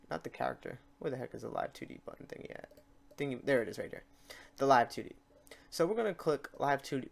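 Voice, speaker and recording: A man hosting a software tutorial in a casual, unscripted way, talking to himself as he works through the steps. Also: mid-range voice, vocal fry. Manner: informal, talks to himself while clicking through steps. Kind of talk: casual unscripted screen-recorded tutorial narration.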